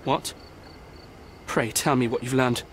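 A man's voice: mid-range voice, steady tone, slow, slight background noise, lightly acted. An actor playing a formal, put-upon male camp supervisor in a game, with a wry manner. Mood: wry, burdened. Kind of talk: dramatic game cutscene dialogue. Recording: faint night ambience behind.

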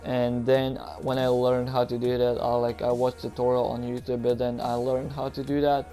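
A man's voice: mid-range voice, completely flat delivery, moderate pace, mellow, soft background music. A young man from Croatia with a Croatian accent, hosting a casual Q and A over game footage, hesitant and nervous with many ums and likes. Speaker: gaming YouTuber.

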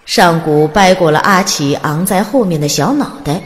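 A woman's voice: lowish voice, moderate pace. A woman reading scripted audiobook narration as the storyteller. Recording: long reverb, music underneath. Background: music.

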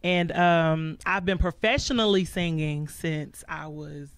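A young woman from talks about her singing, upbeat and quick to laugh. Her voice comes across smooth and resonant. It is dry and close on a desk mic in a small studio. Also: sits low, no room sound. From Houston, Texas. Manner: upbeat, warm, laughs easily. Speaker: singer, interview guest. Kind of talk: casual interview chat. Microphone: desk mic on a stand.

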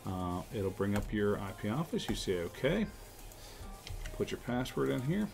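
A man hosting a casual software tutorial, explaining informally step by step. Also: lowish voice, big pitch swings, normal speed, creaky, soft background music. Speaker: tutorial host, telecom technician.

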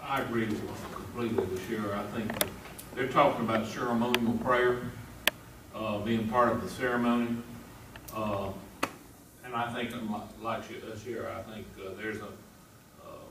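A middle-aged man speaking at a board meeting, plain-spoken and dotted with "uh" pauses. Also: on the low side, steady tone. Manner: plain-spoken, many "uh" fillers. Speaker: school board member. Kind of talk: formal meeting discussion. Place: large meeting room. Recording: echoey room, far from mic, background noise.

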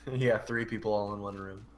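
A man's voice: fairly low pitch, steady tone, unhurried. A man playing as a teammate over voice chat, teasing his friend playfully. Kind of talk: casual gaming chat.

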